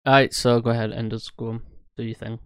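A man's voice: medium pitch, little pitch movement, fairly quick, clean tone, no echo, clean studio sound. A man co-hosting a podcast in casual chat, blunt and sweary, given to exclaiming. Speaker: podcast co-host.

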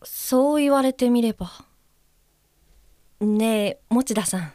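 An actress playing a considerate, observant guide helper in an audio drama, speaking gently, politely and with care. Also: female voice, on the high side, slow, no echo, studio quality. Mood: considerate, probing. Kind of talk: Japanese audio drama acting, reading aloud and describing.

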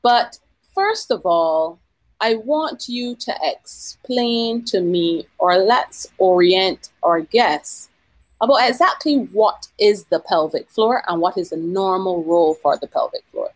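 A woman, a physician hosting a podcast, reading a formal introduction and then interviewing a guest in a measured way. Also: mid-range voice, expressive, no room sound, rough recording.

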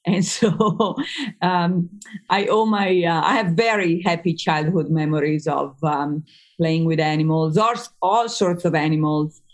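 A woman from northern Italy with an Italian accent, a native Italian speaker, chatting as a psychologist guest on a podcast and often pausing with fillers. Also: low-pitched. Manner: chatty, with frequent fillers and restarts. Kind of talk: podcast interview.

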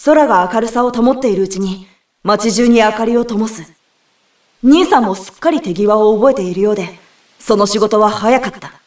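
A woman's voice: medium pitch, moderate pace, darkish tone, lightly acted. A woman playing an earnest young girl from a spirit world in an audio drama. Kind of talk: Japanese audio drama acting and narration. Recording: roomy, distant, music behind.

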